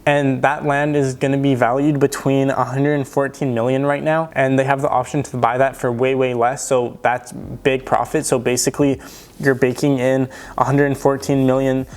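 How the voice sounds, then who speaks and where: A young man with a raspy voice from tonsillitis, casually explaining a stock pick to his channel's viewers with lots of fillers. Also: mid-range voice, flat delivery, moderate pace. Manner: chatty, filler-heavy, enthusiastic explaining. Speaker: stock investing YouTuber. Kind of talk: casual stock analysis talk to camera.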